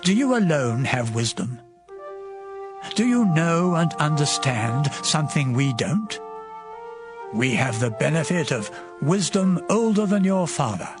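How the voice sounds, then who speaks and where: A stern biblical elder, preaches scornfully in an audio drama. He speaks slowly and emphatically, with wide swings of pitch, while music plays clearly behind him. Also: lively intonation. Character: stern, scornful male elder admonishing a sufferer.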